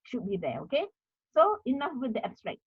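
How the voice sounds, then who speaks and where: A woman's voice: fairly high pitch, huge pitch swings, a little fast, slightly rough, no room sound, lo-fi sound. A middle-aged woman from Malaysia with a Malaysian English accent, giving an academic talk on article writing, repeating herself with many fillers. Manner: repetitive, explanatory, many fillers. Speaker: university lecturer.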